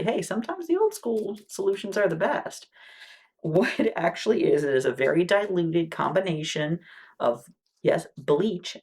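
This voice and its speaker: A woman playing a wound care nurse in an ASMR roleplay, speaking softly and patiently, explaining each step and sometimes dropping to near-whispers. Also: deep voice, gravelly, husky. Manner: soft-spoken, patient explanations with frequent "okay"s; drops to near-whispers between lines.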